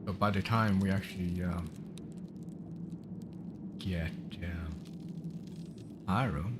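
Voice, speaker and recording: A man from Sweden with a Swedish accent, casually commenting on a live game stream in a rambling, halting way as he plays. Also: sits low. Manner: rambling, halting, explaining while playing. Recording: loud ship engine noise behind.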